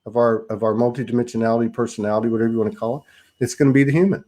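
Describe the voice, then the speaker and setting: A man's voice: medium pitch, fairly even intonation, racing, a little mellow. A man hosting a spiritual conversation, musing aloud at length with many restarts. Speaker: host.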